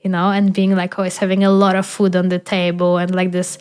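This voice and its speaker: A woman's voice: lowish voice, monotone, a little fast, darkish tone, clean tone. Reflective Polish woman, podcast host. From Poland. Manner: thoughtful, searching for words, frequent fillers. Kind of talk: casual conversation.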